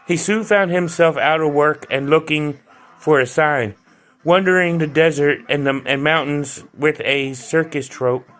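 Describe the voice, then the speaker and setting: Chatty man reviewing a toy, fairly high voice. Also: a little slow, a bit bright. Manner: chatty, loose, with frequent restarts and fillers. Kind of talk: casual video review.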